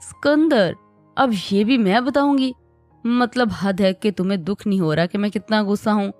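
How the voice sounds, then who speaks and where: Fiery young wife, huffy and indignant, voiced by the narrator, mid pitch, dry close sound. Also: female voice, expressive, a little slow, no room sound, soft background music. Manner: indignant, sulky. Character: fiery, touchy young wife, quick to anger.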